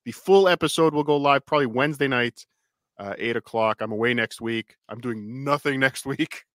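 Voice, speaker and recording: A man's voice: mid-range voice, moderate pace, fairly bright tone, no echo, clean studio sound. A man co-hosting a livestream, promoting his own videos in blunt, casual talk with some swearing. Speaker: livestream co-host and channel creator. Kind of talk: casual chat and self-promotion.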